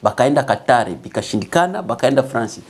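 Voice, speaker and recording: A man's voice: mid-range voice, lively intonation, fairly quick, a little mellow, breathy edge. A man hosting an online commentary show, hesitating often with fillers and mixing French with Swahili, growing heated when indignant. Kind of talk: political commentary monologue.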